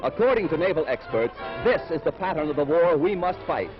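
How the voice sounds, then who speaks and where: A muffled vintage newsreel recording with loud music under the voice. An American man narrates in a fairly high pitch, with the dramatic, emphatic delivery of a 1940s announcer. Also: expressive, fairly quick. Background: loud music, battle sound effects.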